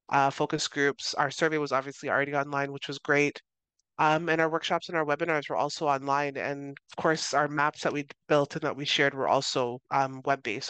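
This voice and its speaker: A woman's voice: sits low, fairly bright tone, smooth, no room sound. A Canadian woman in her thirties, a guest researcher giving long, earnest answers in an interview, peppered with "you know" and self-corrections. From Toronto, Canada.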